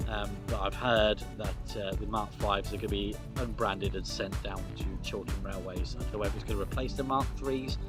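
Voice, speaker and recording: A man, a train guard, giving a casual field update in a hesitant, friendly way with many fillers. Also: on the low side.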